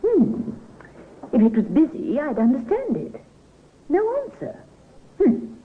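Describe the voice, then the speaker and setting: An actress playing a fussy, imperious elderly mistress of the house in a vintage radio drama. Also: female voice, sits high, wildly swooping pitch, takes it slowly, slight background noise, heavily acted. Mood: impatient, fretful. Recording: muffled old radio recording.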